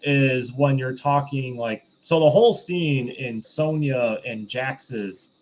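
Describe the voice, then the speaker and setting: A man's voice: medium pitch, takes it slowly. Man critiquing films on slightly dull audio. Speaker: podcast co-host. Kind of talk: casual movie critique discussion.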